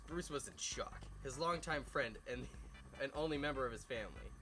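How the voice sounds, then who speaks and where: A man reads a story aloud in a mid-pitched voice, tripping over words and stepping out for joking asides. Music plays clearly behind him. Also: brisk. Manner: stumbles over words while reading. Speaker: host reading fan fiction aloud. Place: outdoors by a fireside at night.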